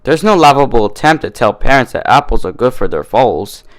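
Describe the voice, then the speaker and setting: A man's voice: medium pitch, sing-song, a little fast, natural voice. A man voicing a teasing, argumentative female carrot-farmer pony in a cartoon fan-fiction reading. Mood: needling. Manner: needling, argumentative.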